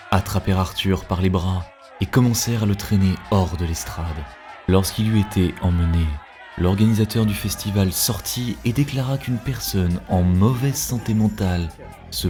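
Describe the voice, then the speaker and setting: A man's voice: low-pitched, moderate pace, dark tone. A man reading scripted narration for a cartoon story. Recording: music behind the voice. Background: background music.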